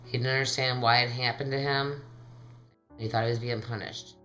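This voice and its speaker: A woman in her fifties, speaking in a measured, sober way in a documentary interview. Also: rumbling low, fairly even intonation, fairly bright tone, soft background music. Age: 50s.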